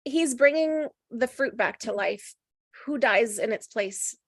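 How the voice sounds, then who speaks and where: A woman's voice: fairly high pitch, expressive, takes it slowly, dry recording, studio quality. An agreeable woman chiming in readily during a casual group discussion.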